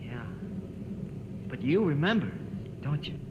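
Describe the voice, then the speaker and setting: A man's voice: a little high, sing-song, slow pace, muffled recording. An actor playing an anxious, wistful young crewman, earnest and uneasy, in 1950s radio drama style. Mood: wistful yearning. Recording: reverberant, noisy old radio recording.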